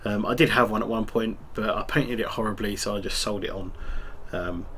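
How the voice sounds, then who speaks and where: A man's voice: on the low side, brisk, slight background noise. Bunged-up man with a cold. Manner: relaxed, rambling, full of ums. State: congested with a cold. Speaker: tabletop wargaming channel host. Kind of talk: casual hobby show-and-tell.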